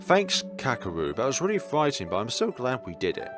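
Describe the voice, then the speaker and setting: Male reader as shy visual novel schoolgirl, anxious and sweet, hesitant stammer, lifted pitch, music behind. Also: huge pitch swings, fairly quick. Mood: relieved, grateful. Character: timid, anxious blind schoolgirl, sweet and grateful. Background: game music.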